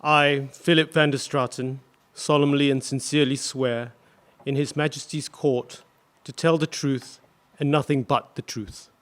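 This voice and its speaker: An actor playing a grave, earnest widowed engineer, giving solemn, deliberate testimony in a courtroom drama. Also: male voice, medium pitch, fairly even intonation, a bit bright, natural voice. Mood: solemn, composed. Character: grave, earnest male electrical engineer, widowed war witness.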